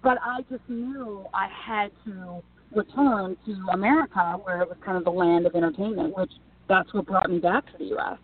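A woman's voice: medium pitch, moderate pace. A woman from Minnesota, a TV and news personality, chatting animatedly as an interview guest. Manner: chatty and animated, frequent restarts. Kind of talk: casual interview answers. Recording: tinny phone-like line.

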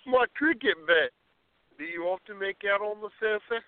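A man's voice: high-pitched, moderate pace, smooth, no echo, close to an everyday voice. A man playing a cheeky, mischievous neighbour with a teasing manner in a radio play. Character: Earl, cheeky, grinning male neighbour. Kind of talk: amateur radio play acting. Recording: thin phone-like audio, dry.

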